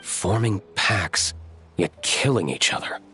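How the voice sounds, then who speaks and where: A man voicing a composed, serious gentleman vigilante in a video game, speaking in a measured, formal, probing way. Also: low voice, lively intonation, soft background music, heavily acted. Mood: grave, analytical.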